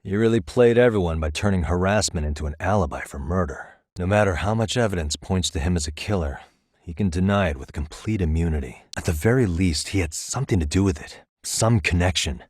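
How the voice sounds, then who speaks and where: Low, smooth-voiced male investigator. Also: dry recording, clean studio sound. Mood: thoughtful. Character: composed, probing male private investigator, adult, dryly confident. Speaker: voice actor in a video game. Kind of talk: naturalistic game dialogue acting.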